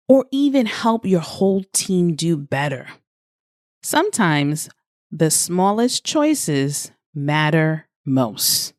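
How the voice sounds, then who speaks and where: Female podcast coach, unhurried, animated pitch, scripted motivational read addressed to the listener. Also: no echo, clean studio sound. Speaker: podcast host and life coach.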